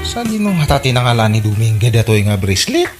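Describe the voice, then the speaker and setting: A man's voice: mid-range voice, very animated, brisk, over loud music, close to an everyday voice. Man acting a suspicious ilocano husband, shocked and exclaiming, radio comedy. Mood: incredulous.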